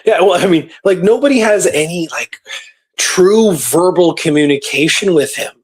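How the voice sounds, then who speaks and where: Higher-voiced man talking history casually. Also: animated, moderate pace, slightly rough. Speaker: radio show host. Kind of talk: casual storytelling discussion of a historical case.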